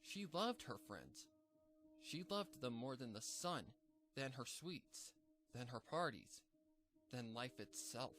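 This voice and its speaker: A man narrating a dark fan fiction story in a measured, somber reading. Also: a little high. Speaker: fanfic narrator.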